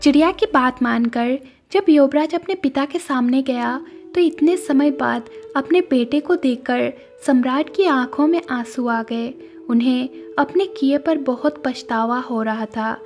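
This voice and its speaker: A woman narrates a cartoon moral tale in a high voice. It is scripted storytelling, with faint music playing behind her. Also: unhurried, darkish tone.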